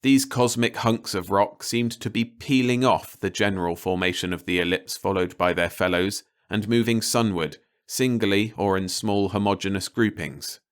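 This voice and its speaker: A man reading a story aloud as an audiobook narrator, in a measured, wry storytelling manner. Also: fairly low pitch, clean tone. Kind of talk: scripted story narration, read-along audiobook.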